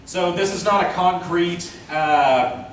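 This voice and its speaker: Higher-voiced man in a very echoey room. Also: slow pace. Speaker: panel presenter, amateur director and voice actor. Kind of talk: informal convention panel talk. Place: convention panel room. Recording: echoey room from far off, faint noise.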